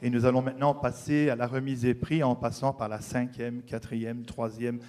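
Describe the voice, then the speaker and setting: A man's voice: mid-range voice, flat delivery, normal speed, darkish tone. A man with a Belgian French accent hosting a gala on stage, announcing emphatically. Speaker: gala host. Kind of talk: stage presenting.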